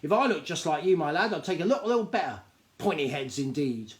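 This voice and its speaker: A man voicing a bossy, sharp-tongued old woman in an audiobook, scolding in quick, snappish lines. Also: a little high, expressive, pitched above the performer's own voice, lightly acted.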